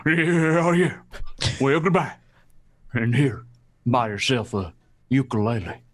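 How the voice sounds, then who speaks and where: A man's voice: medium pitch, takes it slowly. A man acting in an old-fashioned radio play as a harried, pompous adventurer and author, blustering and dismissive. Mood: brusque disdain. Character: harried, pompous male adventurer-author, disdainful of fans and stars.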